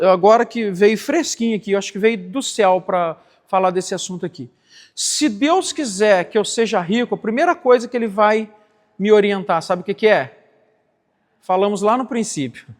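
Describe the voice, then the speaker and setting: A man in his late forties preaches in a church, his voice sitting unusually high for a man. He drives points home by repeating phrases, and the sanctuary's long reverb trails after each line. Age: 40s. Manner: emphatic, repeats phrases for stress. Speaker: pastor. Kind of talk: church teaching sermon.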